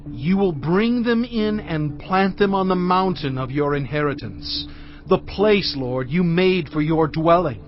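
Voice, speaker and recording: An actor playing Moses, reciting a song of praise in a slow, exalted, declaiming way. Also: male voice, on the high side. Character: Moses, devout male leader singing praise. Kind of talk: dramatized scripture recitation. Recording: muffled, with music underneath.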